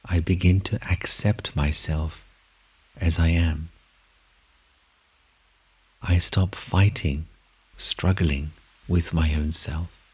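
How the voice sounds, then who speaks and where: A man with a husky, breathy voice narrates a guided meditation in measured, deliberate short phrases with long pauses. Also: low voice, fairly even intonation, darkish tone, low-quality recording.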